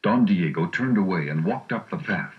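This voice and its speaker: A man's voice: deep voice, lively intonation, moderate pace, a little hiss or hum. A man narrating a scripted adventure storybook. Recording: muffled storybook recording.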